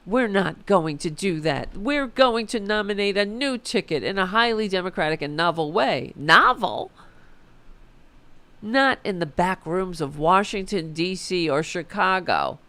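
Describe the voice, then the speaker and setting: A woman with a raspy voice hosting a political commentary show, reading an article aloud and answering it with sarcastic, profane indignation. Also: on the low side, lively intonation, measured pace, fairly bright tone.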